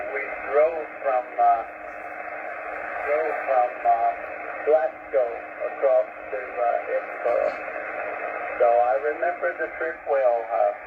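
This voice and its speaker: An older man chatting over ham radio, rambling and hesitant, with many fillers and chuckles. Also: a little high. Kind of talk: casual radio chat. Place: North Carolina, USA. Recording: tinny, static-filled shortwave radio.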